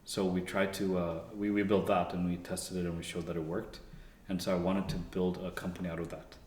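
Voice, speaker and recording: Low flat-voiced young man, echoey room. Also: a little fast, slight background noise. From Canada. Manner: relaxed, rambling with frequent fillers and restarts. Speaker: guest, engineer. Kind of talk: casual interview conversation.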